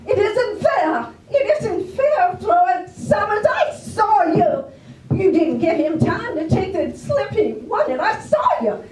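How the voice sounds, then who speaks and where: A woman's voice: very high voice, very animated, takes it slowly, a little mellow, heavily acted. A woman in an amateur stage play acting a desperate, indignant housewife who protests and pleads insistently. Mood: indignant, protesting. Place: library room, staged performance. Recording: roomy, distant mic in hall.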